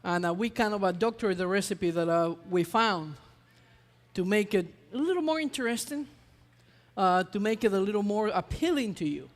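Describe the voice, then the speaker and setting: A man's voice: high voice, normal speed, big-room sound. A man from Ecuador, a chef chatting through a live cooking demonstration, hesitant with many fillers.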